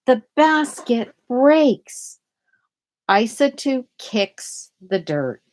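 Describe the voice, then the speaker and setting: Slow-paced woman reading a picture book. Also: on the high side, sing-song, darkish tone. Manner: deliberate, explains words and story to young listeners. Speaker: storybook reader. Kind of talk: read-aloud of a children's picture book with explanatory asides.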